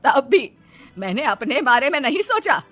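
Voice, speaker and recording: A woman's voice: sits high, very animated, moderate pace, a bit bright, soft background music. A woman voicing an elderly mother-in-law in a cartoon story, earnest, pleading and emphatic. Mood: hurt, earnest. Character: defiant elderly mother-in-law and hopeful bride.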